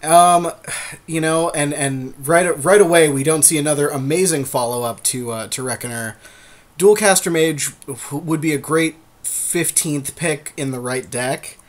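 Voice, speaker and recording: A man's voice: on the high side, measured pace. A man co-hosting a podcast who talks loosely and casually, swears freely and restarts his sentences often. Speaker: podcast co-host. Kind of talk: casual chat about card drafting.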